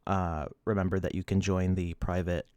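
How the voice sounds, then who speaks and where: A man in his thirties hosts a casual livestream from his desk, chatting loosely and laughing as he reads the chat. His voice sits low, and he is a bit sniffly. The sound is close and dry, with no room around it. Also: flat delivery, normal speed, darkish tone, smooth, dry recording. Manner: rambling, laughs often, restarts, reads chat. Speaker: livestream host.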